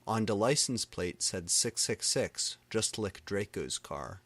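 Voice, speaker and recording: Slow-reading man with a low voice. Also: animated, fairly bright tone, husky, dry recording. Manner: reads misspellings and punctuation literally. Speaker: fan fiction reader. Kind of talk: dramatic comedic reading aloud of fan fiction.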